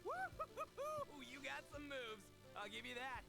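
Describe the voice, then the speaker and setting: Brash young brawler, bombastic, very high for a man, wildly animated, video game, music behind. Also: moderate pace, gravelly, full character voice. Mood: boastful, fired up.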